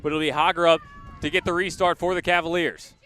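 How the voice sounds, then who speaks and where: A man calling live college lacrosse as a radio play-by-play announcer, in crisp short present-tense phrases, outdoors at a sports field. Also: on the high side, flat delivery, fast, fairly bright tone.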